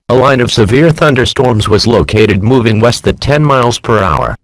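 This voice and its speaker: A man playing an official emergency announcer, reading a scripted warning bulletin in a formal, impersonal, measured manner. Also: mid-range voice, no room sound, lightly acted, rough recording.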